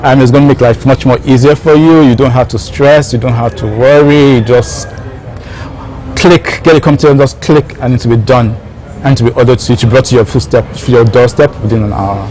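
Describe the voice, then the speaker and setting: A man's voice: mid-range voice, fairly even intonation, brisk, darkish tone, a little hiss or hum, poor audio. A man hosting an informal online talk show, addressing viewers directly and repeating phrases for emphasis. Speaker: show host and founder.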